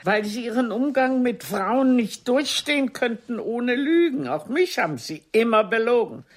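An actress playing a proud ageing stage diva in a German radio play, reproaching a former lover in a husky, theatrical, cutting way. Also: female voice, on the high side, expressive, moderate pace. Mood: accusing. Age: older. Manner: theatrical, cutting, formal address.

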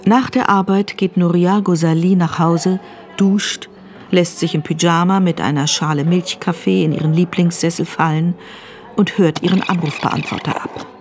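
An actress playing the matter-of-fact narrator of a crime radio drama, reading scripted prose. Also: female voice, fairly low pitch, fairly even intonation, normal speed, faint music behind, lightly acted. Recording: clear ambient background noise.

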